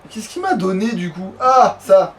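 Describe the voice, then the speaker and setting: A man with a notably high-pitched voice chats casually as he plays a game. He rambles and grumbles in crude, sweary slang, recorded at home over clearly audible background noise. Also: big pitch swings, a little slow, distant mic. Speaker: let's play streamer. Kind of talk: casual gameplay commentary chat.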